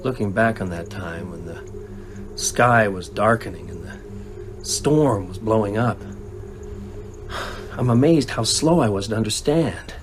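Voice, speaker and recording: A man playing a haunted, reflective survivor in a radio drama, speaking in a measured, solemn way as he recounts a past horror. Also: fairly low pitch, a little hiss or hum. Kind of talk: radio drama acting, framing narration.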